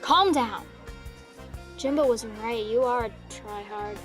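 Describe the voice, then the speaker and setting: A sardonic female ghost hunter in an audio drama. Her voice sits somewhat high, and her delivery is blunt, dry and unimpressed. Faint music plays behind her. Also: slow. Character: world-weary, independent female ghost and demon hunter, sardonic. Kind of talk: scripted audio drama acting.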